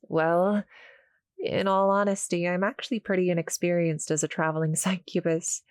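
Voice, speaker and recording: A woman voices a shy, gentle monster girl in an intimate audio roleplay. Her voice is low for a woman and recorded very dry and close. She talks softly and hesitantly, polite and apologetic toward the listener. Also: flat delivery, moderate pace, no room sound, natural voice. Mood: nervous, confiding. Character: shy, gentle female cuddle-monster succubus.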